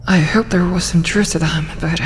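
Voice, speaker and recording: A woman voicing a proud female dragon who turns shy and flustered in a hesitant ASMR roleplay, often slipping into breathy whispers. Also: on the low side, steady tone, normal speed, big-room sound, a little hiss or hum, close to an everyday voice. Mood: flustered, surprised.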